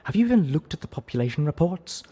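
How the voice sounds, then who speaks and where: An exasperated adult mare in a storybook quarrel, voiced by a man. She scolds and huffs in a lively, argumentative way. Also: fairly high pitch, fast talker, pitched above the performer's own voice. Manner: huffy, scolding. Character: two arguing adult pony mares, exasperated, scolding.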